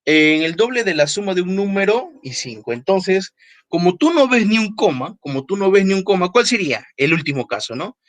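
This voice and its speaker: A man teaching a math class online, repeating key phrases, stressing words slowly, and checking in with quick tag questions. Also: sits high, big pitch swings.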